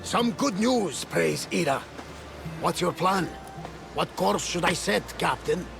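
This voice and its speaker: A loyal older sea captain in a video game scene. His gravelly voice sits a little high, and he advises with pious good humour over background music. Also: lively intonation, slow, exaggerated voice. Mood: earnest, upbeat. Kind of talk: video game cutscene acting.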